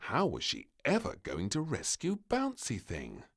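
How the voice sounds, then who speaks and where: A man reading a children's story aloud as an expressive storybook narrator. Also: medium pitch, measured pace.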